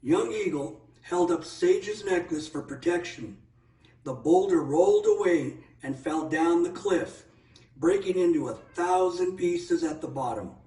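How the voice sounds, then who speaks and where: High-voiced, animated man reading a story. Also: unhurried. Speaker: read-aloud storyteller. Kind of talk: animated children's story narration. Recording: roomy echo, distant microphone.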